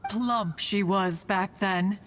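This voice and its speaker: A woman's voice: mid-range voice, slow pace, heavy background noise, lightly acted. A woman voicing an ancient, lofty female immortal in a video game, proud, fond and talkative, with stately archaic phrasing. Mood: fondly teasing. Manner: stately, archaic, calls herself one. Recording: clear background ambience.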